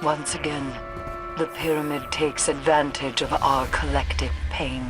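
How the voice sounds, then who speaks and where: A woman playing a grim, haunted mystic in a video game cutscene, speaking solemnly and ominously in formal, measured phrases with a breathy voice. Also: low-pitched, level tone. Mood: grim, resolute. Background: music.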